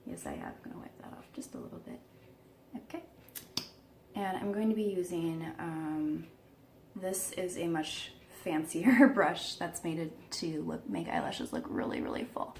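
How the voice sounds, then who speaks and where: Croaky low-voiced woman vlogger. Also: wildly swooping pitch, moderate pace, slight background noise. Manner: chatty, self-deprecating, laughs at herself. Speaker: beauty vlogger. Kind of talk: casual makeup tutorial.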